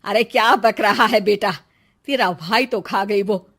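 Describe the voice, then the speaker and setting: A woman voicing a stern, disapproving middle-aged mother-in-law in an animated moral story. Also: medium pitch, a little slow. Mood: scolding.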